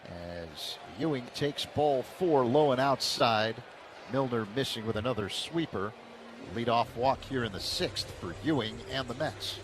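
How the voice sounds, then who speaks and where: A man calling a baseball game play-by-play on the radio, steady and then excited on big plays. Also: medium pitch, measured pace, background noise. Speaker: play-by-play announcer.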